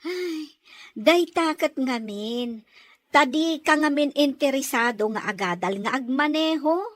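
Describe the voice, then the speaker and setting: A woman acting a supportive young office friend in an audio drama, chatty and expressive. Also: sits high, a little slow.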